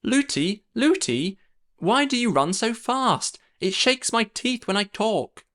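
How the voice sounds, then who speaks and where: A man voicing a small princess girl who chatters breathlessly in a high voice. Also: big pitch swings, takes it slowly, fairly bright tone, exaggerated voice. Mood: chattering.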